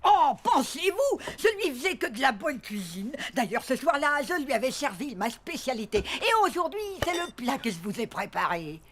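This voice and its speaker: A woman's voice: sits high, very animated, normal speed, hardly any pauses, very noisy. A woman voicing a prim, proud, easily offended widow in a cartoon, with theatrical indignation. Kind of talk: cartoon voice acting.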